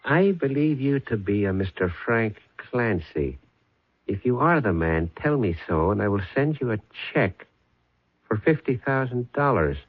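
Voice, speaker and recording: A man's voice: mid-range voice, big pitch swings, a little slow, breathy edge, no echo. An actor playing a sly man who reads out a letter. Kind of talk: 1970s radio drama acting. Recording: dull, dry radio recording with faint music.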